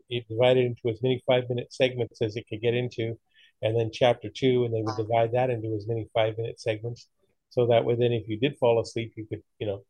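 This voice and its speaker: A man in casual conversation, low-key and agreeable as he talks. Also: warm tone, husky, no room sound, low-quality recording. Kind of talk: casual chat.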